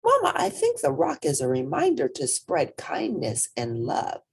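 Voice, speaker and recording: A woman voicing an eager, kind-hearted young schoolgirl in an earnest, expressive storybook reading. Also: low voice, unhurried, slightly rough, heavily acted. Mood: tender, earnest.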